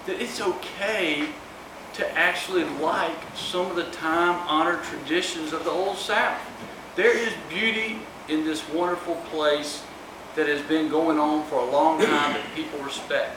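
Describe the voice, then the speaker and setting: Higher-voiced man speaking in an echoey room. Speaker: author speaking at his book event. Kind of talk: public speech to a live audience. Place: room at a live event, distant microphone. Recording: echoey, noisy room recorded from far off.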